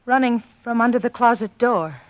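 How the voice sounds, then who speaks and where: A high, frightened young wife, played by a woman, her speech trembling and halting. She comes through an old radio broadcast with a faint hiss behind her. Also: lightly acted. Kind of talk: 1940s radio acting.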